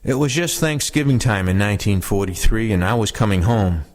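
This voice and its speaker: A man's voice: fairly low pitch, lively intonation, a little fast. A man reading first-person war memoirs aloud in a plain, conversational way. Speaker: reader of veterans' oral histories.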